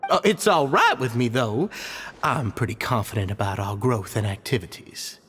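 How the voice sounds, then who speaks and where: An actor playing an earnest, polite society leader in a video game, deferential and eager to please. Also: male voice, medium pitch, animated, moderate pace, a bit bright, slight background noise, close to an everyday voice. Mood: modest, hopeful.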